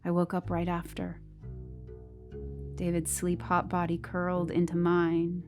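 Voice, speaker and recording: A woman performing a haunted, troubled woman narrating a ghost story in an audio drama. She speaks slowly in a slightly low register, brooding over each phrase, with faint music behind her. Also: monotone, darkish tone, close to an everyday voice. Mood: brooding, tender. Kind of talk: scripted first-person dramatic narration.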